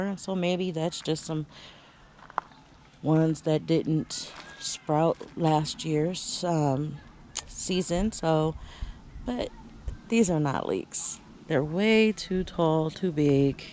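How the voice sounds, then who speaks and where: Slow, mellow-voiced woman on a garden walk. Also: low-pitched, slight background noise. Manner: chatty and relaxed, many fillers and restarts. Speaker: home gardener giving a garden tour. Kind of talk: casual walking garden tour. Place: backyard garden outdoors.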